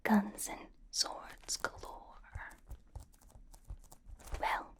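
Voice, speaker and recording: A woman playing a quirky, playfully suspicious young superheroine in an ASMR roleplay, coaxing and questioning in an airy whisper. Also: drawn-out words. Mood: mock-stern questioning. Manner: coaxing, playful mock-interrogation, repeats phrases. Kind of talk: ASMR roleplay, personal attention.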